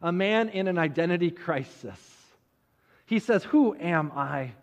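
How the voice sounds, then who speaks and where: A man preaching a sermon, telling a Bible story conversationally with dramatic pauses and rhetorical questions. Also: sits high, animated. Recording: muffled audio with long hall reverb.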